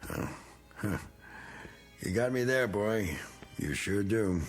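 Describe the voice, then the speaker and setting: An actor playing a needling, bitter middle-aged American war veteran with folksy, slangy talk in a vintage TV drama. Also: male voice, on the low side, lively intonation, slow pace, faint music behind. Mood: needling, affable. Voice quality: gravelly. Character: needling, bitter male war veteran, rough working man. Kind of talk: 1960s television drama acting.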